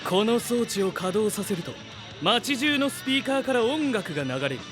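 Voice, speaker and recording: A man's voice: high-pitched, expressive, moderate pace, over music. A man playing a confident, theatrical young scientist in an anime-style voice drama, speaking in grand, self-assured declarations. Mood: proud, showy.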